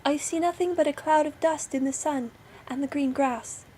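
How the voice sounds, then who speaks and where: Woman as a high-voiced, watchful storybook sister. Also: moderate pace, a little mellow, a little hiss or hum, natural voice. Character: anxious, watchful sister calling out from a tower. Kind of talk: storybook character voice.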